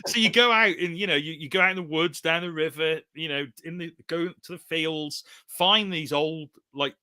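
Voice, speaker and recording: A man's voice: a little high, normal speed, pushed and bright, clear, resonant tone, no room sound. A man co-hosting a live podcast, chatting and reminiscing in a casual way.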